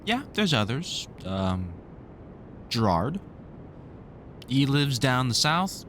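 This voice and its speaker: A man playing a weary, kindly vampire hunter in an audio roleplay, talking to the listener in a halting, informal way with dry humour. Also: mid-range voice, big pitch swings, fairly bright tone, a little hiss or hum. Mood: dryly informative.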